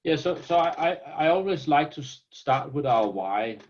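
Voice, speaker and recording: A man's voice: a little high, animated, normal speed, dull audio. A man from Denmark with a Danish accent, a company founder talking earnestly in a business interview and often repeating words as he restarts. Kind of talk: business interview over video call.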